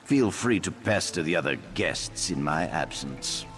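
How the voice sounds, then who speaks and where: A man's voice: sits low, lively intonation, measured pace, a bit bright. An actor playing a haughty, condescending male elf noble in a fantasy video game, who speaks with pompous, sneering politeness. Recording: game audio with faint music.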